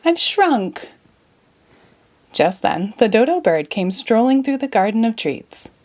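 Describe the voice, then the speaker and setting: Animated woman reading a storybook. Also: on the high side, normal speed. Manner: expressive. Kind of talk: children's storybook read-aloud, scripted narration.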